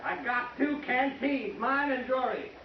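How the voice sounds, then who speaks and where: A man's voice: extremely high pitch, fairly even intonation, unhurried, distant mic, heavy background noise. An actor playing a bitter, vengeful frontier gunman who is a coward at heart, taunting in a menacing drawl in a 1950s radio drama. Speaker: Patchen. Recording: dull echoey old radio recording, off-mic.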